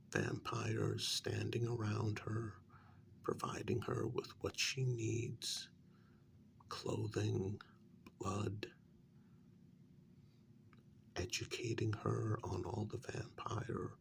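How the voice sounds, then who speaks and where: Man playing a slow, gravelly, soft-spoken vampire mediator. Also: fairly low pitch, heavily acted. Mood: quietly reproachful. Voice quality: breathy, half-whispered, gravelly. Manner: measured, halting, chiding. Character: calm, reproachful male vampire mediator addressing a prisoner. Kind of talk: soft-spoken ASMR role-play.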